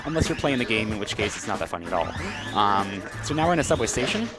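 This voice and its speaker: Man commentating a game over loud music. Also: on the low side, big pitch swings, fast. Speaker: speedrunner commentating on own game. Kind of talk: casual live game commentary. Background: loud video game music and sounds.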